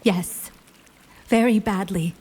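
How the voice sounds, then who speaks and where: A polite young war hero in a fantasy radio play. Her voice is husky and slightly breathy. She picks formal words with care and keeps her guard up. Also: mid-range voice, takes it slowly, warm tone. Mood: guarded pleading. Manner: careful, courteous, formal. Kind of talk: fantasy audio drama acting.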